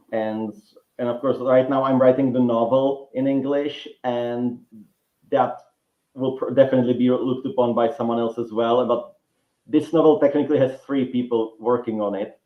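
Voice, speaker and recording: A man's voice: mid-range voice, fairly even intonation, moderate pace. A man with a Czech accent, a writing teacher and guest author, talking in a rambling way with many restarts and fillers. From Czech Republic. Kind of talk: relaxed interview conversation. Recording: roomy, dull lo-fi sound.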